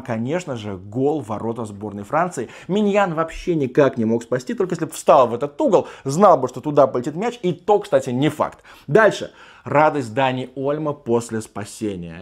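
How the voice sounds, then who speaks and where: A man in his thirties talks football from a desk in a small room, his voice sitting a bit high and sounding rough and worn. He argues emphatically and slips into sarcastic, mock-gushing asides. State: strained, partly lost voice. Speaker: football show host. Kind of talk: animated football commentary monologue. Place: small room with sofa, indoors.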